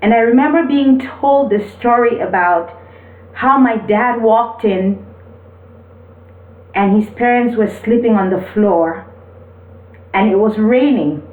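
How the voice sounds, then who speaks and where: A woman from Cameroon gives an earnest, encouraging motivational talk with personal storytelling, speaking deliberately as the host of a video. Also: fairly high pitch. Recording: roomy, distant mic, thin phone-like sound.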